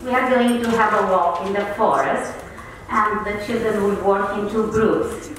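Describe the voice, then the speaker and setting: A woman's voice: mid-range voice, fairly even intonation, moderate pace, distant mic, a little hiss or hum. Woman presenting in an echoey hall. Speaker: conference presenter.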